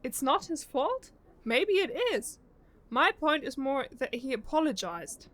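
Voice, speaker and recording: A woman's voice: high-pitched, very animated, measured pace, fairly bright tone, slight background noise, exaggerated voice. A woman playing an anxious, earnest young member of a girl group in an audio drama. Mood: earnest, uneasy.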